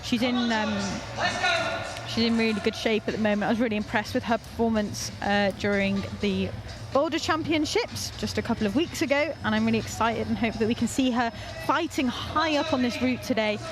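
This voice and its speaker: A woman's voice: a little high, a little fast, over music. A young woman from North Wales, commentating a climbing competition live in a chatty, encouraging way.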